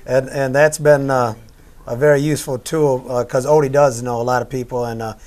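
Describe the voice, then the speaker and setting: A man's voice: medium pitch, little pitch movement, a little fast, darkish tone. A man hosting a local community TV talk show, introducing his crew in a loose, rambling way. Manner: easygoing, rambling with fillers. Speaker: host.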